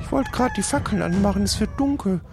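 A man's voice: high voice, animated, fast talker, creaky, over music. A man playing an earnest, chatty young cannibal boy in a tabletop game, sometimes stumbling over his words. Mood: practical.

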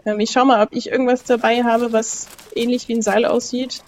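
High-voiced woman playing a tabletop game. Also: level tone, fairly quick, darkish tone. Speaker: game player. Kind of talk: casual tabletop role-play.

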